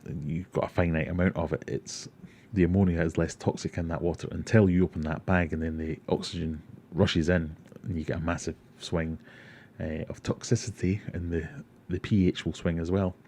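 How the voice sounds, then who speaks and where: A man's voice: deep voice, flat delivery, fairly quick, darkish tone, a little hiss or hum. A Scottish man from Glasgow hosting an aquarium livestream, casually answering chat questions and rambling with self-deprecating asides. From Glasgow, Scotland.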